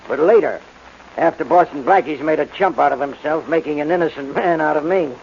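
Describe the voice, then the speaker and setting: A man's voice: on the high side, a little fast, vocal fry. An actor playing a polite, calculating businessman villain with a composed manner, in 1940s radio style. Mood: scheming. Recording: dull, noisy 1940s radio recording.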